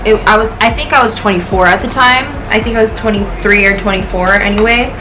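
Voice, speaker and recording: A woman's voice: mid-range voice, brisk, rough recording. A woman of twenty-nine with an American accent, telling a personal story in a casual, rambling, slangy and sweary way. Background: music.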